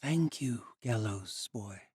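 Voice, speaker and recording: A man voicing an ancient girl who speaks softly and sorrowfully. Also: fairly low pitch, lively intonation, slow pace, slightly rough, no echo, clean studio sound, full character voice.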